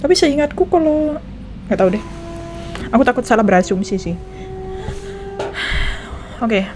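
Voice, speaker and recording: A woman's voice: a little high, animated, moderate pace, over music. A young Indonesian woman with an Indonesian accent, giving casual reaction commentary on a game, thinking aloud and chatting as she goes. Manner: chatty, thinks aloud, switches between languages. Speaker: gaming reaction vlogger.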